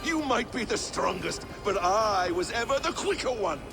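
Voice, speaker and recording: Bitter, defiant game trickster: high-pitched, swooping delivery, sly and taunting. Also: male voice, very animated, normal speed, gravelly, loud music behind the voice, full character voice. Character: bitter, defiant male Norse trickster god, protective father. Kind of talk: video game dramatic acting. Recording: background ambient noise.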